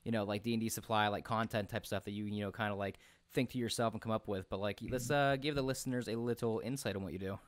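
A young man hosts a casual podcast chat in a fast, rambling stream full of fillers. His voice sits a little low and sounds dry and close, picked up by a studio mic in a small home room. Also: steady tone, no echo. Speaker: podcast host. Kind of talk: casual video call chat. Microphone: headset with large studio mic.

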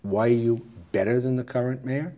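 A middle-aged man hosting a local cable interview show in a small TV studio on a lapel mic, brisk and businesslike. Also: mid-range voice, steady tone, warm tone. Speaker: TV show host.

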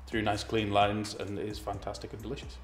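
A man around forty speaks in a very low voice with little movement in pitch. He explains his work as a brewer to a documentary interviewer. The room gives his voice clear echo. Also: completely flat delivery, fairly quick. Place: brick-walled room indoors. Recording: roomy echo, music underneath.

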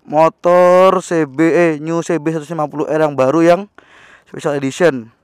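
A young man chatting excitedly in a casual riding vlog, recorded on a helmet camera while on a motorbike in the city. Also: fairly high pitch, moderate pace, clean tone, no room sound. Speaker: motovlogger. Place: on a motorbike on city streets, outdoors. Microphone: helmet-mounted action camera. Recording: loud wind and traffic noise.

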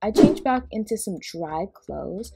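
A woman's voice: medium pitch, lively intonation, measured pace, vocal fry, very noisy. A woman hosting a voiced game roleplay, chatty and often scolding as a mother. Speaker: roleplay host playing a mother.

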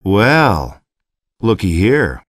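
A gruff middle-aged action hero for a video game. He is cocky and curt, with a lively range of pitch and a dry, close sound. His delivery is sardonic and mocking. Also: extremely slow, no echo, heavily acted. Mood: scornful. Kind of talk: video game cutscene acting.

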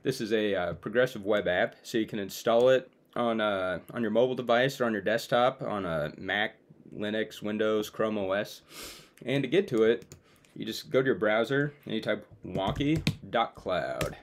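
Casual young man with a lowish voice. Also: moderate pace. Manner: informal, conversational. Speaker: video creator presenting his own web app. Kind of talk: casual screen-share demo, talking to viewers. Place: small room at home, indoors.

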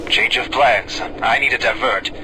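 An actor playing a male space security officer who gives brisk, matter-of-fact orders in a video game. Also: natural voice. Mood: steady. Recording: game music and engine rumble behind.

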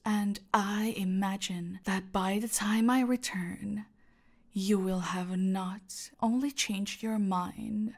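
A woman playing a domineering, seductive cartel boss in a scripted audio roleplay, haughty and menacing, with a breathy voice that often drops to a whisper. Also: medium pitch. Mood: smug menace. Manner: slow, menacing, haughty, purring endearments.

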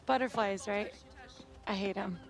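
A woman's voice: mid-range voice, unhurried, music in the background, close to an everyday voice. A woman acting an encouraging, seasoned football teammate in a video game, casual and reassuring.

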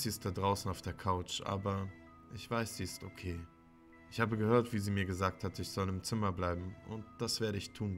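A man's voice: lowish voice, pushed and bright. A man playing a sullen, troubled teenage son, bitterly narrating his own horror story in a dramatic way. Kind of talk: scripted first-person horror narration. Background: music.

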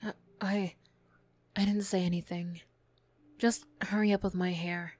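A woman's voice: on the low side, level tone, a little slow, a little mellow. A woman acting a flustered, defensive young former bully in an ASMR roleplay, stammering, bashful and snappy. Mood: grudging, soft.

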